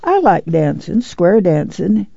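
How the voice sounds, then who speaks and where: A man's voice: on the high side, normal speed, gravelly, warm tone, no echo, highs cut off. Man reading as a shy, hesitant young country girl. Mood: guarded, meek. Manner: hesitant, mumbling, guarded.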